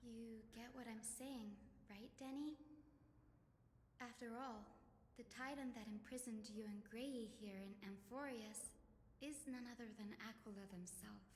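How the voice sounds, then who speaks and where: A woman's voice: fairly high pitch, measured pace, a little hiss or hum. An actress playing a sweet, earnest young female physician in a video game, with a lightly playful manner. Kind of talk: scripted video game dialogue. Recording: roomy hall reverb. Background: music.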